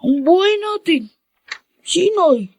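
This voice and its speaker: A woman's voice: extremely high pitch, animated, slow, darkish tone, no echo, clean studio sound, full character voice. A woman playing an earnest, worried child in a Filipino radio drama, speaking in a hesitant, pleading way. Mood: troubled, hesitant. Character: earnest, worried young child Benji, loyal to mother.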